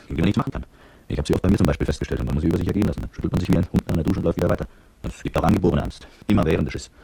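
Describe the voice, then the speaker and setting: A brooding ex-soldier who tells his story in a literary radio play. His voice is low and very husky, his words terse and colloquial as he reflects, rattled off at a very quick pace. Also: mellow, no room sound, full character voice. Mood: grim, contemptuous. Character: brooding petrol-station attendant and former soldier, recalling events. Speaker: narrator and protagonist. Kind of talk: literary first-person radio drama narration.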